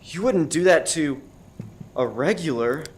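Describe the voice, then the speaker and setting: A man's voice: on the high side, lively intonation, measured pace, slight background noise. A man acting as a cornered undercover federal agent in a comedic radio play, earnest and exasperated. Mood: nervous.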